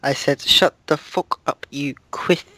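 Young man, deadpan, slow dramatic reading, dry close voice-chat mic. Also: on the high side, no room sound. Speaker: reader of a fan fiction. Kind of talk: mock-dramatic reading over gameplay.

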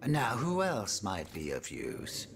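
A man's voice: lowish voice, huge pitch swings, slow, a bit bright, exaggerated voice. An actor playing a pompous, silky statesman and schemer in a video game, theatrical, florid and courtly. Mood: suave, wry. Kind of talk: video game cutscene acting.

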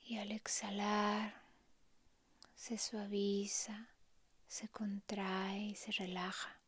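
A woman softly narrating a guided meditation in a hushed, calm, breathy way, leaving long pauses. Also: medium pitch, dry recording.

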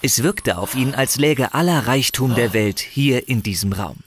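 A man's voice: medium pitch, animated, measured pace, slight background noise. A man narrating a scripted fantasy audio drama in a theatrical, suspenseful storytelling manner. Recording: voice under loud music. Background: loud dramatic music.

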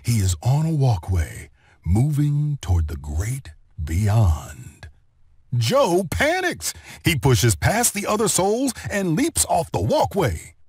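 A man narrating a children's read-along story in an expressive, deliberate storytelling manner. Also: medium pitch, no room sound, studio quality. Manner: expressive, deliberate storytelling delivery with clear phrasing.

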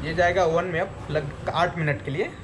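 A man's voice: on the high side, steady tone, normal speed, background noise. A man working as a chef in a restaurant kitchen, giving short plain answers while he cooks.

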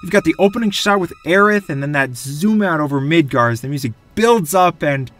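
A man's voice: on the high side, a little fast, soft background music. A young man giving enthusiastic, gushing scripted commentary as a video game reviewer. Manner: gushing, animated.

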